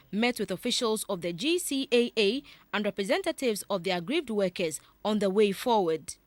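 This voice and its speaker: A woman's voice: a little high, dry recording. A woman with a Ghanaian accent reading a scripted television news report.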